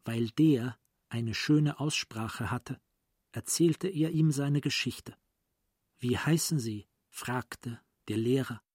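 A man narrating a radio play as a literary storyteller, in a deliberate, dramatic manner. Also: medium pitch, a little mellow, breathy edge, dry recording, studio quality.

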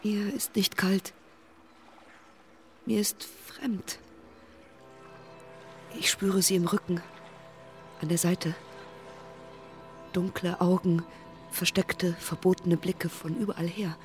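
Radio play role: withdrawn, uneasy woman, terse lines, very unhurried delivery, faint music beneath. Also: on the low side, steady tone. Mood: uneasy, haunted. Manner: terse, halting, sparse phrasing. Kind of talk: stylized radio play acting.

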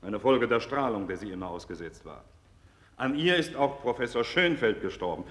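A senior radiology professor in a 1960s radio drama. He has a medium-pitched voice and a blunt, wry way of instructing, like a mentor who has lost his illusions. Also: lively intonation, moderate pace, fairly bright tone. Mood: didactic, solemn. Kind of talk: 1960s German radio play acting.

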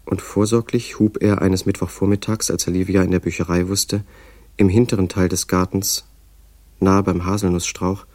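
An actor narrating a radio drama as a measured, matter-of-fact literary storyteller with a gravelly, husky voice. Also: male voice, deep voice, flat delivery, a little mellow, no room sound, natural voice. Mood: measured, factual. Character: measured male storyteller, a writer recounting another man's life.

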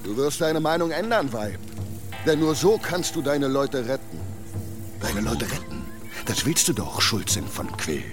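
A monster in a man's guise for a radio play. He coaxes and threatens by turns, insinuating and domineering, repeating his own words. The pitch moves animatedly, with music behind him. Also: sing-song, unhurried. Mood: menacing. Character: menacing beast-creature posing as a dead husband, coaxing, domineering. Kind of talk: German radio drama acting.

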